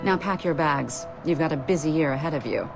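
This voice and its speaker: An actress playing a commanding, pragmatic Templar superior, speaking in a measured, authoritative way. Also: female voice, low-pitched, vocal fry, poor audio. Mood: cool, firm. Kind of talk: scripted game dialogue. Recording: loud music behind the voice.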